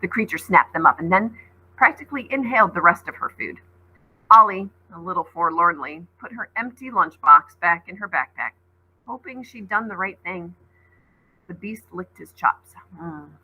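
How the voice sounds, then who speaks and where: A woman's voice: on the low side, expressive, moderate pace, breathy edge, highs cut off. A woman teacher reading a story aloud dramatically, with conversational asides to explain it.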